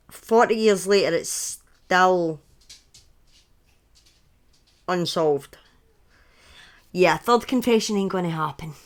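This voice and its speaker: A woman's voice: mid-range voice, fairly bright tone. Scottish lady in her 30s doing casual true crime story time on stream, chatty, keeps correcting herself. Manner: chatty, self-correcting asides.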